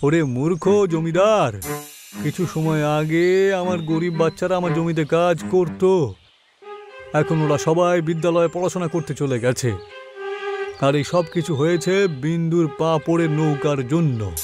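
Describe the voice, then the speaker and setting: A man acting out a scheming cartoon village headman, bossy and full of scorn. He speaks with domineering emphasis, and music plays clearly underneath. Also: on the high side, a little slow, natural voice. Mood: scornful, aggrieved. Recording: voice over background music.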